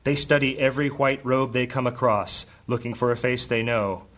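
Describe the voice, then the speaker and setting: Male narrator, medium pitch, scripted fantasy storytelling. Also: steady tone, moderate pace, fairly bright tone.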